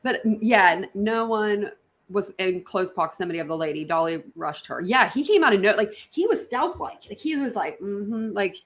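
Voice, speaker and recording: A woman's voice: medium pitch, animated, moderate pace. Rambling woman chatting on a livestream. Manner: rambling, repeats herself, addresses viewers directly. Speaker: livestream host. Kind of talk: casual livestream chat reacting to videos.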